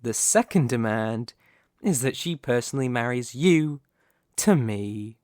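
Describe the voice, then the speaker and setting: A smug, possessive crime family boss voiced by an audio roleplay performer holds total control of the room. The delivery is slow and deliberate, in a medium-pitched voice with a very dry, close sound. Mockery and theatrical menace run through the phrasing, as in an intimate dramatic monologue. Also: animated, a little mellow, no room sound, lightly acted. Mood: commanding.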